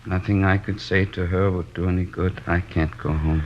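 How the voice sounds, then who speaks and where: Dull old radio recording of an actor as a middle-aged American working man worn down by his wife. His low voice is plaintive and weary. He rambles and hesitates, unable to make up his mind, in 1950s radio play style. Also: level tone, normal speed, a little hiss or hum, close to an everyday voice. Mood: downcast, weary. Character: henpecked middle-aged plasterer, weary, plaintive, indecisive husband. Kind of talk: 1950s radio drama acting.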